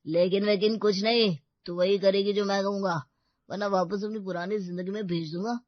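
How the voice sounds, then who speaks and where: A woman's voice: mid-range voice, steady tone, normal speed, no echo, highs cut off, natural voice. A woman voicing an arrogant, bullying husband in an audio story, curt, domineering and sneering. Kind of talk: Hindi audio story character acting.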